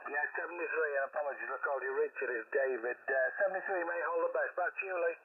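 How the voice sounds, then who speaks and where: A man's voice: on the high side, quick pace, phone-quality sound. A man chatting cheerfully on an amateur radio contact. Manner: cheerful, chatty, calls people "mate". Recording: distant radio signal, phone-thin with hiss.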